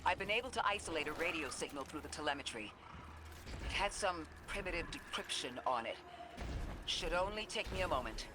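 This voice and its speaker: A man's voice: on the high side, lively intonation, brisk, background noise, natural voice. An actor playing an earnest, scholarly male mission guide in a video game, articulate, analytical and urgent. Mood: alert. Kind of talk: scripted video game dialogue.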